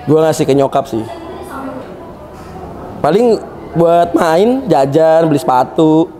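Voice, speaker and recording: Man, 26, mellow, relaxed, slangy. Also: a little high, takes it slowly, soft background music, low-quality recording. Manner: laid-back, laughing slang. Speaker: interviewee. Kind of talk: casual slangy interview answers.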